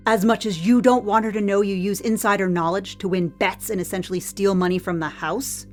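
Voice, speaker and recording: A woman's voice: medium pitch, fairly even intonation, fairly quick, soft background music, lightly acted. Woman playing a sardonic, hard-edged security chief. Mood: hard, resentful. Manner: pointed, sardonic questioning. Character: hard-edged head of security, probing, unflappable, defiant. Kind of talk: audio drama acting.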